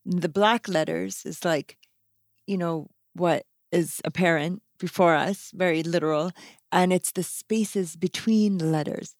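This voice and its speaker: A woman hosts a podcast conversation in a slightly low voice. She affirms what her guest says and laughs easily. The sound is close and dry. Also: unhurried, no echo. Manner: warm, laughs often, affirming. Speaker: podcast host. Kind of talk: casual conversation.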